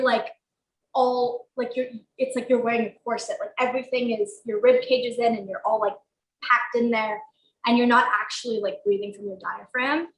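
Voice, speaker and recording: Chatty young woman with gravelly voice. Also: on the high side, a bit bright. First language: Portuguese. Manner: chatty, lots of fillers like "um" and "like". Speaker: actor guest. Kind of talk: casual interview talk. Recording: roomy echo.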